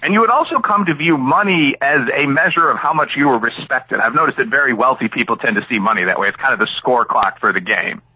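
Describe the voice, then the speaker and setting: A middle-aged American man speaks as an interview guest with a very bright, forceful edge and a husky grain. His pitch moves in a lively way as he lays out opinionated, analytical answers with asides and examples. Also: fast talker, hardly any pauses, rough recording. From Chicago area, United States. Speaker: interview guest, academic and author.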